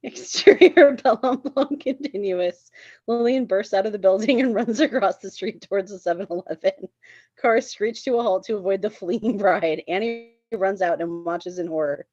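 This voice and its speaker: A woman's voice: mid-range voice, moderate pace, a little mellow, dry recording, dull audio. A woman reading stage directions as narrator at a screenplay table read.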